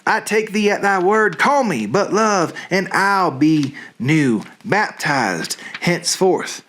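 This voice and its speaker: A lovelorn young noblewoman played by a man pleads in halting stage verse. The delivery is theatrical and earnest, slow in pace, with sweeping rises and falls in pitch. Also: animated, a bit bright, heavily acted. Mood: pleading, lovelorn.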